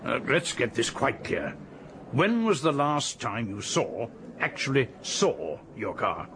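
Gruff English police colonel, lively intonation. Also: male voice, mid-range voice, slow pace, slight background noise, heavily acted. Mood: weary, exasperated. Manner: clipped, hesitant, methodical questioning. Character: gruff, formal police colonel leading a murder inquiry. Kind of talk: radio drama acting.

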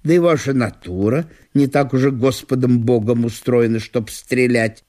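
An actor voicing a dignified, smooth-talking small-town gentleman who persuades with ornate courtesy in a radio reading of a classic story. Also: medium pitch, moderate pace, natural voice. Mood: persuasive, coaxing. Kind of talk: radio literary solo performance.

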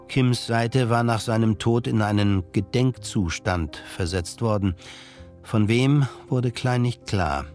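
Low-voiced male narrator over music. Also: darkish tone. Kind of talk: scripted literary narration for audio drama.

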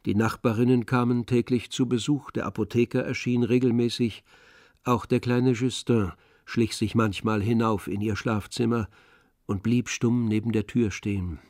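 A man giving scripted literary narration in a radio drama, in a measured, composed manner. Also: medium pitch, steady tone, a little mellow, dry recording, clean studio sound.

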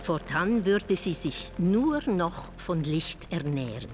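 A woman's low voice unfolds an intimate radio monologue at a slow, halting pace. She is introspective and intense, as if confessing. Soft music sits behind her. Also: lively intonation. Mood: pensive, recollecting. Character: intense, confessional woman recalling fasting and youth. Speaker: radio drama narrator. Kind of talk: reflective first-person radio monologue.

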